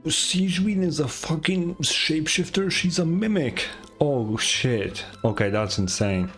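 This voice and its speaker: A woman's voice: low-pitched, animated, unhurried, soft background music. A woman streamer giving casual reaction commentary on a game, rambling and tired, swearing freely.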